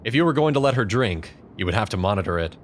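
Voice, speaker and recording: A man's voice: fairly low pitch, lively intonation, quick pace, a little hiss or hum. A man narrating a scripted second-person story as the narrator of an audio drama.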